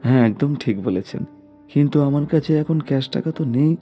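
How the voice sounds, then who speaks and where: A man's voice: mid-range voice, fairly quick, warm tone, husky, lo-fi sound, soft background music, voice pushed up, natural voice. A man voicing an anxious, polite father of a young boy in a story.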